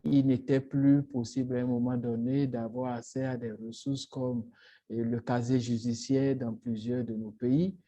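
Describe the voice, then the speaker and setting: A man speaking on a panel discussion in a measured, formal way. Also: mid-range voice, little pitch movement, mellow. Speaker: panelist, startup advisor.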